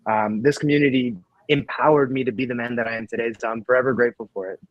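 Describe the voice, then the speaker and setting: A man's voice: medium pitch, fairly even intonation, fast talker, no room sound, lo-fi sound. A man in his twenties, a native Russian speaker, chatting casually and earnestly on a panel. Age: 20s. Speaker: real estate investor on a panel. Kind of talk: casual chat. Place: indoors in a rented apartment.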